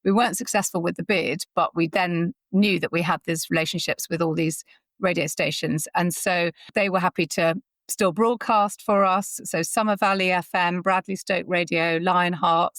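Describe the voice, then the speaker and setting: A woman with a slightly low voice, chatting as a guest in an interview. She speaks with enthusiasm about her work as a producer. The sound is close and dry. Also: fairly bright tone, dry recording. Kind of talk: conversational interview.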